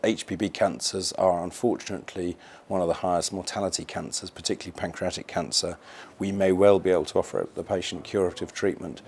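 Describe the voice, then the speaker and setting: A man speaking as a specialist doctor, giving measured explanatory interview answers for an educational film. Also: low-pitched, fairly even intonation, a little mellow.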